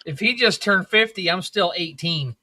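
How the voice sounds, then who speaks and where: Bright-voiced man in his forties. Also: sits high, lively intonation, moderate pace, dry recording, clean studio sound. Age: 40s. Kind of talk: casual livestream chat.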